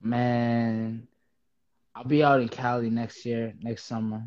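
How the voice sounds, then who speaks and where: A man's voice: mid-range voice, no echo. Guy from uganda talking laid back with slang, dry kinda muffled audio. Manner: relaxed slang, halting restarts. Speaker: guest, music artist and event organizer. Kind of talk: casual chat.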